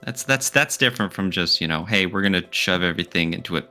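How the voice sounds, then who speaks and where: A man's voice: low-pitched, animated, fast talker, pushed and bright, soft background music. A man co-hosting a podcast in casual discussion, posing questions and giving his opinions. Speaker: podcast co-host.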